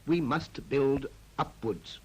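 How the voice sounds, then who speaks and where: An actor playing a confident, persuasive town planner in a 1940s animated public information film, speaking in an emphatic, explanatory way. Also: male voice, mid-range voice, slow, natural voice. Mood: urgent, placating. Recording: old 1940s film soundtrack, music behind.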